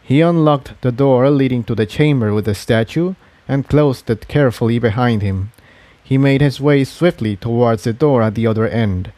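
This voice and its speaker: A man's voice: mid-range voice, moderate pace, mellow, dry recording. A man narrating an adventure story from a script, as a fan fiction reader.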